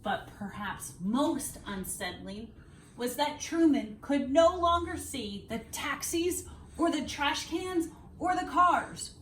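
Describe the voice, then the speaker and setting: A woman reading a children's picture book aloud expressively, with dramatic, deliberate phrasing and emphasised words. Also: high-pitched, expressive, slight background noise, low-quality recording. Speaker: read-aloud storyteller.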